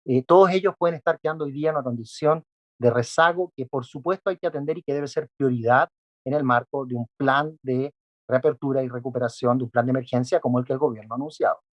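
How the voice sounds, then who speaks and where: A man's voice: medium pitch, fast. A man, an education specialist, answering interview questions methodically, listing his points with frequent fillers. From not Peruvian.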